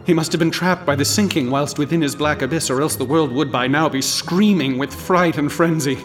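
An actor playing a doom-haunted scholar, delivering a solemn, portentous radio drama monologue. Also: male voice, fairly high pitch, fairly quick, soft background music, close to an everyday voice. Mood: brooding dread. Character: doom-haunted scholar brooding on cosmic horror.

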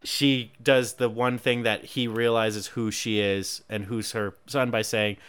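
A man hosting a podcast, recapping a movie plot with wry, deadpan jokes. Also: fairly low pitch, unhurried, smooth. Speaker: podcast host. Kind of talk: casual movie recap chat.

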